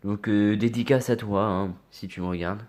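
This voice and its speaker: Low-voiced man with a cold. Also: steady tone, moderate pace, a little mellow. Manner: muttering, spelling out letters one by one. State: has a cold. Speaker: scratch card vlogger. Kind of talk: casual commentary while scratching tickets.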